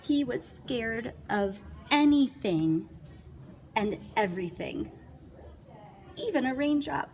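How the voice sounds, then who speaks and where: A woman storyteller reads a picture book to children with playful drama. Her fairly high voice is highly animated and very slow, over faint background noise. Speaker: read-aloud storyteller. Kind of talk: expressive children's picture book reading with asides.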